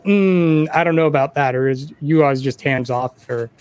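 A man's voice: a little high, moderate pace, a little mellow, smooth. A man in a casual interview chat as the podcast host.

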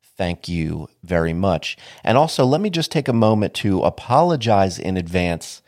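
A man's voice: sits low, sing-song, normal speed, darkish tone, dry recording, studio quality. A man hosting a podcast alone, talking casually and wryly, a bit sniffly from allergies. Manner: wry, casual and informal. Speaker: podcast host. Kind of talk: solo podcast monologue.

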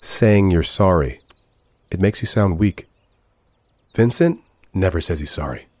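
An actor playing a polite, introspective AI robot companion with a measured, formal way of talking, in a scripted sci-fi audio drama. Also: male voice, low-pitched, animated, a little mellow, no echo. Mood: politely affronted. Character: emotional, polite male AI robot companion, introspective and easily slighted.

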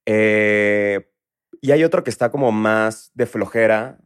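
Man in his thirties, mexico city accent, smooth clear voice, easygoing rambling chat. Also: fairly low pitch, slow. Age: 30s. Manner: relaxed, rambling, many fillers. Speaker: guest entrepreneur. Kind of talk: casual interview chat.

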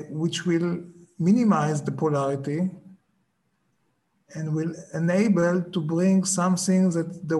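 A man gives a reflective talk in a fairly high voice. He speaks slowly and haltingly, restarting phrases as he searches for the right English words. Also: a little mellow. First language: Hebrew.

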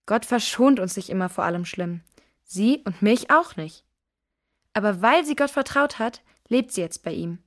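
A woman playing a kind, level-headed girl who reasons calmly and earnestly in a children's audio drama. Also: medium pitch, moderate pace, natural voice. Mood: gentle, earnest.

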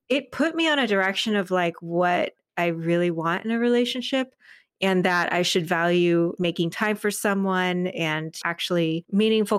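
A middle-aged woman in casual, animated conversation as a podcast guest, telling personal stories in informal speech. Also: mid-range voice, dry recording, clean studio sound. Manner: animated storytelling, acting out quoted remarks; informal, peppered with "like". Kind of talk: casual chat, personal anecdotes.

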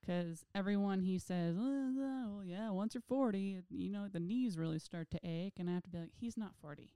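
Female podcast co-host, early thirties, mellow, teasing and quick with jokes, dry close sound. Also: medium pitch, smooth, dry recording. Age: 30s. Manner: teasing, wisecracking. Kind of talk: casual chat.

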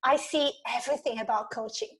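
A woman's voice: a little high, brisk. A woman from Italy with an Italian accent, answering interview questions in a reflective, conversational way with self-deprecating humour. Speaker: guest, leadership coach.

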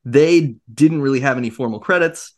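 A man's voice: mid-range voice, moderate pace. An American man co-hosting a podcast, explaining things informally with mock-grand, tongue-in-cheek jokes. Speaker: podcast co-host.

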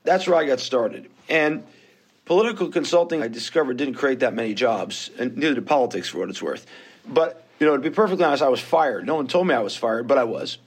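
A man's voice: medium pitch, lively intonation, brisk, husky. A man in his fifties with a New York accent, speaking as a podcast guest. He tells his story candidly and pokes fun at himself along the way. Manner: candid, self-deprecating storytelling. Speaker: guest investor. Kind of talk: podcast interview.

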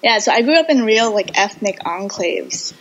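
A young American woman speaking as a podcast guest, reflective and halting as she talks about herself in casual conversation. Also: medium pitch, animated, a bit bright, lo-fi sound, slight background noise. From United States, Boston and Houston.

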